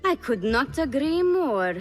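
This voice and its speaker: Slow, taunting villain's henchwoman. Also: high voice, sing-song, long reverb tail. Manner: deliberate, taunting. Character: cool, defiant female henchwoman of a villain. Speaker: actor in a video game. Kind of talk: dramatic scripted dialogue.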